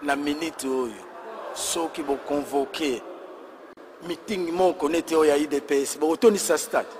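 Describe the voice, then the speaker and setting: Higher-voiced Congolese host, roomy sound. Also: male voice, normal speed, a little mellow, a little hiss or hum. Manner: probing, reads out the critics' complaints. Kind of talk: questioning a guest in a debate.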